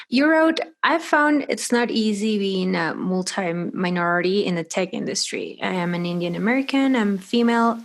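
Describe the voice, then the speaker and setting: Woman from peru, native spanish speaker, hosting a podcast, chatty, says like a lot, middle pitch. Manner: chatty, lots of "like" and "you know". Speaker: podcast host. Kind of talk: casual interview chat.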